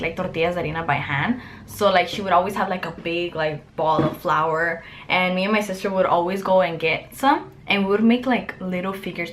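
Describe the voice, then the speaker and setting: Young woman, Mexican-born, Spanish first language; relaxed bedroom vlog chat with loose fillers, close to the camera. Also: medium pitch, a little hiss or hum. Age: young adult. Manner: chatty, many "like" fillers. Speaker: vlogger. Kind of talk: casual Q&A chat. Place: bedroom, indoors.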